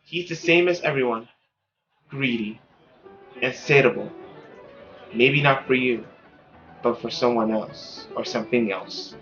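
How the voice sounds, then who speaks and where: A man voicing a stern, cynical mother in a visual novel, measured and admonishing. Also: mid-range voice, a bit bright, dull audio, soft background music, voice pushed down.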